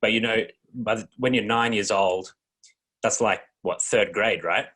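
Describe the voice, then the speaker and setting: A man's voice: on the low side, unhurried, a bit bright. An Australian man co-hosting a podcast, asking the guest long, rambling, curious questions. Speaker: podcast co-host. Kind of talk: casual interview questions.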